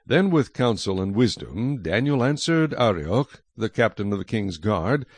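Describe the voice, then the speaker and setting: A man narrating scripture from a script in a measured, solemn manner. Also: on the low side, sing-song, no echo. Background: faint music.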